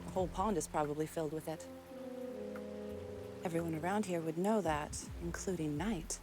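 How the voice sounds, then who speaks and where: A woman playing a sharp-minded, matter-of-fact private detective in a 1920s period TV drama. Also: low-pitched, fairly even intonation, moderate pace, close to an everyday voice. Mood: brisk, deducing. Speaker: lead actress in a TV detective series. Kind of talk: naturalistic TV period drama acting. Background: background music.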